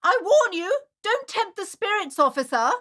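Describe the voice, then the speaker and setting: A fussy, haughty woman who keeps a bookshop in a video game. Her voice is high and swings dramatically up and down. She speaks with formal, theatrical politeness and an anxious, superstitious edge. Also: very animated, moderate pace, bright, forceful tone, full character voice. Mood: anxious, pleading. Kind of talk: acted video game dialogue.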